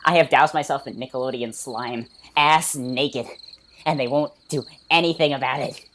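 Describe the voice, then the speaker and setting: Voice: mid-range voice, fairly even intonation, normal speed, a bit bright, slight background noise, lightly acted. A performer voicing a desperate, jittery cartoon sponge who stammers and pleads in a parody dub.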